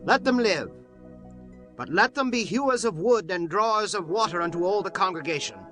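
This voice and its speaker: A solemn prince in a biblical audio drama. He declaims with firm authority and wariness, in a high, bright voice that swings widely in pitch. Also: expressive, moderate pace, soft background music. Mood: firm decree. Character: Israelite prince, wary, firm, authoritative elder statesman. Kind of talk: dramatized scripture audiobook acting.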